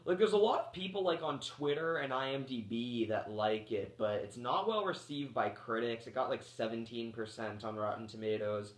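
A man's voice: medium pitch, normal speed, few pauses. Rambling man getting over a cold. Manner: rambling, full of um and like, restarts sentences. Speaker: movie reviewer. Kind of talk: casual rambling movie review. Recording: echoey room.

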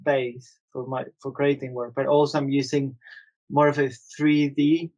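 Hesitant artist from Argentina. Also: male voice, mid-range voice, level tone, moderate pace, a little mellow, lo-fi sound. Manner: hesitant, many fillers and restarts. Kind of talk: casual interview talk.

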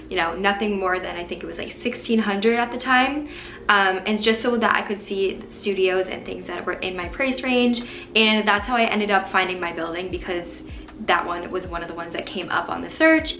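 A woman's voice: mid-range voice, fairly bright tone, echoey room. A woman in her twenties chatting casually to camera as a vlogger, answering viewer questions with many likes and ums. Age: 20s. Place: small bedroom indoors.

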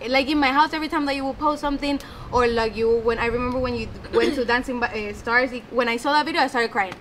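A woman's voice: a little high, quick pace. A young woman with a Colombian Spanish accent giving a heartfelt toast at an outdoor poolside party, searching for her words in English, Spanish being her first language. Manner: searching for words, animated hand gestures. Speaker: friend giving a speech. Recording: party crowd noise behind. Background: chatter and laughter of guests.

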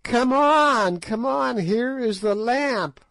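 An ancient witch woman with a shrill, piping voice that climbs high above his usual register, gloating slowly over every word, as a male narrator puts her on. Her sly, wicked cackle comes out loud and drawn out, recorded close and dry with no room sound. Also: lively intonation, creaky, dry recording, exaggerated voice. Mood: sneering. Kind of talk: audiobook character voice.

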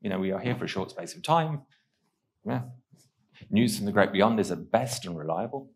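A man's voice: lowish voice, animated, normal speed. A middle-aged man hosting a panel on a conference stage, talking into a headset mic in a musing way, with many uhs. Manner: musing, with fillers. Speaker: host.

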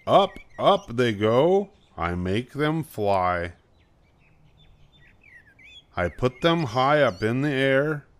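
An older man voicing an eager, showy spotted animal in a picture book, with slow, emphatic, singsong delivery. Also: mid-range voice, very animated. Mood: proud. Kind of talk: picture book read-aloud.

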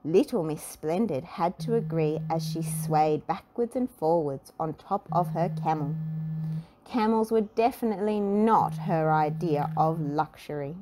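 Slow, low-pitched woman reading a storybook. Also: a little hiss or hum, rough recording. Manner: expressive, deliberate. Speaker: storybook reader. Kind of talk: scripted children's storybook narration.

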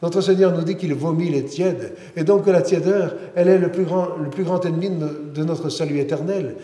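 A man's voice: fairly high pitch, brisk, mellow. A middle-aged man, a priest giving a sermon, speaking earnestly and often restarting and repeating his words. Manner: earnest, many restarts and repetitions. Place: large church interior. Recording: roomy church with long reverb.

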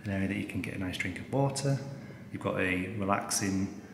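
A man's voice: low-pitched, brisk, creaky, a little hiss or hum. A man talking casually and informally as a travel vlogger, with an upbeat, chatty manner. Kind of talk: casual vlog chat.

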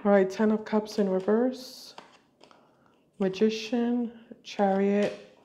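A woman reading tarot cards for her viewers while fighting a cold, speaking slowly and deliberately at her table at home. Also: medium pitch, fairly even intonation, mellow, slight background noise. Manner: slow, deliberate, intuitive. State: has a cold. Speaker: tarot reader.

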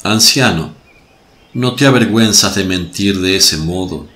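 An actor playing a compassionate, authoritative holy teacher in a radio play, solemn and measured. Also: male voice, sits low, bright, forceful tone. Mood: stern rebuking. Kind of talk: Spanish-language radio play acting.

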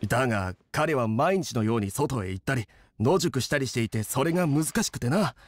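Man playing a lively, casual young inn cook. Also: mid-range voice, brisk, no echo, clean studio sound, close to an everyday voice. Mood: confiding. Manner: rough casual masculine speech. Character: friendly young male inn cook, casual, hearty, humble. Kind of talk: anime game voice acting.

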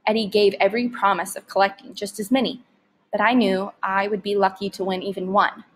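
A woman's voice: medium pitch, little pitch movement, brisk. A woman reading a story aloud expressively, like a teacher narrating to students.

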